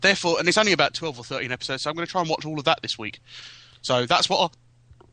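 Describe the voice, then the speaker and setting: Bright-voiced English podcast host. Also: male voice, a little high, lively intonation, fast. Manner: jokey, presenter-style links. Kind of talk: casual podcast chat.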